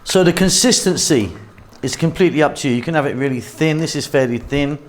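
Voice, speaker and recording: A man hosting a cooking channel, talking casually through a recipe demonstration in a kitchen with a relaxed, chatty manner. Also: on the high side, brisk, slight background noise. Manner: chatty, relaxed, thinks aloud with fillers.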